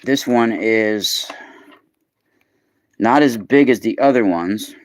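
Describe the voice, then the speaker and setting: A man's voice: lowish voice, slow pace, slight background noise. A man in his forties with a youthful-sounding voice, casually chatting to viewers by name while hosting a live unboxing. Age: 40s. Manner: chatty, talks to viewers by name, bursts of excitement. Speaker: live stream host.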